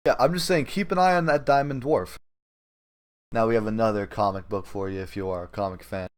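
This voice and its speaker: A man's voice: lowish voice, brisk. A man talking casually about gaming as a podcast host.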